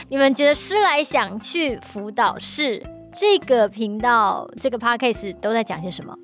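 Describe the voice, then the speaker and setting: A woman's voice: a little high, expressive, a little slow, fairly bright tone. A woman with a Taiwanese Mandarin accent hosting a casual podcast chat in a playful, teasing way. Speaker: podcast host. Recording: music playing underneath.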